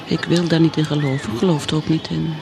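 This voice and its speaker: Troubled middle-aged mom thinking to herself in a radio drama, hushed, gravelly, brooding, in denial. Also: female voice, sits low, steady tone, fast. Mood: anxious. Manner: hushed, introspective, sometimes whispering. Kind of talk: radio drama inner monologue.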